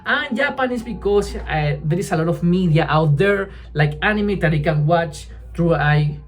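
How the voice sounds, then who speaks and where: A woman whose first language is Spanish, chatting casually to camera as a language-learning vlogger, halting and restarting often and tagging sentences with "right?". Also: on the low side, lively intonation, faint music behind.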